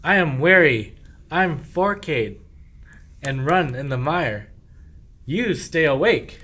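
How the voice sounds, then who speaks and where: A man's voice: on the high side, animated, slow, sharp tone, heavily acted. A man playing a sly, boastful, self-pitying sheep thief in a medieval verse radio play, with declamatory rhyming speech. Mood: complaining.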